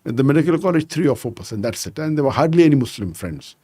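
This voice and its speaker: A man in his sixties telling anecdotes as a podcast guest, measured and sprinkled with "you know". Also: mid-range voice, animated, mellow, slightly rough, dry recording. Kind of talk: conversational storytelling interview.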